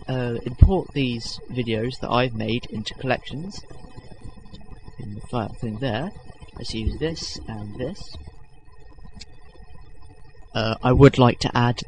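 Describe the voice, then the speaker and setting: A man's voice: mid-range voice, slight background noise, poor audio. A young man hosting a casual video-editing tutorial, rambling with lots of fillers and self-corrections, self-deprecating. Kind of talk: unscripted screen-recording tutorial.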